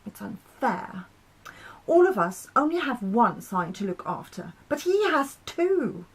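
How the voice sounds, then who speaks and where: A woman's voice: fairly high pitch, very animated, measured pace, husky, heavily acted, rough recording. A woman voicing a petulant, whiny female moon in a storybook, indignant and full of complaint. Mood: sulky, exasperated.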